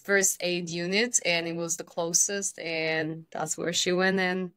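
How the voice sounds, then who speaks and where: A woman in her thirties from Bosnia, a guest telling stories in a casual interview with lively, expressive delivery. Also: lowish voice, measured pace, clear, resonant tone, no room sound. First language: Serbian. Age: 30s. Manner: animated, expressive storytelling.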